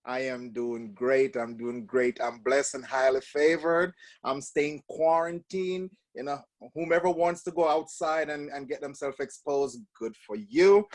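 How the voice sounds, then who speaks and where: A man's voice: medium pitch, steady tone, a little slow, hardly any pauses, a little mellow. A Jamaican man in his fifties, a guest in an interview, telling stories reflectively with many restarts and fillers. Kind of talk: casual interview talk.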